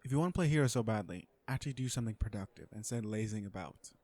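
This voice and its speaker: A man's voice: on the low side, animated, racing, darkish tone. A man playing a self-assured, flirtatious businessman supervillain in a romantic comedy audio roleplay, talking to the listener in a sardonic, conversational way. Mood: smug, exasperated. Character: cocky, flirtatious businessman supervillain on a blind date.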